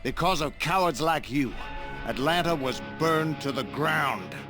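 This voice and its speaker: An actor playing a vengeful Confederate colonel, menacing and oratorical, in a Western game. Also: male voice, a little high, lively intonation, unhurried. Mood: wrathful. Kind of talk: dramatic Western game cutscene acting. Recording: music behind the voice.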